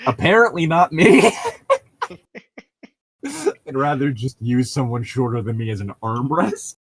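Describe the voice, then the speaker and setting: Young man bantering, very animated pitch. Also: a little slow, background noise. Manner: profane, bantering. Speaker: co-host reading a book aloud with commentary. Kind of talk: casual joking chat.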